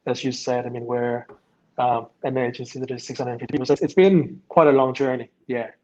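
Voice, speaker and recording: A man's voice: mid-range voice, steady tone, fairly quick, a little mellow. A middle-aged man from Vietnam, founder of an agency, answering interview questions casually with many ums and you-knows. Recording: dull lo-fi sound.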